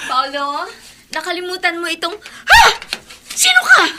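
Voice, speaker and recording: A woman playing a frightened but defiant young woman in a horror radio drama, highly emotional. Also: huge pitch swings, unhurried, heavy background noise, full character voice. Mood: alarmed.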